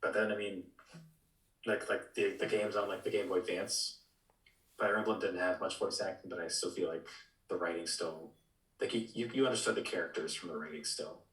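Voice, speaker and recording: A man co-hosting a podcast, chatting casually about video games in an informal, halting way. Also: deep voice, fairly even intonation, a bit bright, reverberant, low-quality recording. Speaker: podcast co-host.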